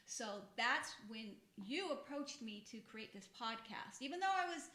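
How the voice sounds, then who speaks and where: A woman's voice: fairly high pitch, expressive, moderate pace, pushed and bright. A woman in her fifties talking casually and reflectively as a podcast host, putting her thoughts in articulate terms. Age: 50s. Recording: roomy, echoey room sound.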